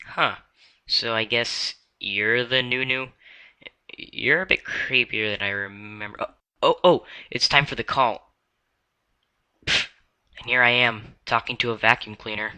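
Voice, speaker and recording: A man's voice: mid-range voice, steady tone, a little slow, long pauses, bright, forceful tone, smooth. A man playing a wry, uneasy night guard muttering to himself in a horror game cutscene.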